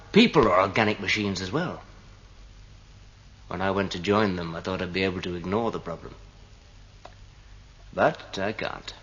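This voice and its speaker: An actor playing a brooding, earnest male android in a radio drama, speaking deliberately. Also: on the low side, pushed and bright. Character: brooding male android questioning whether his feelings are real. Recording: dull noisy 1980 radio drama recording.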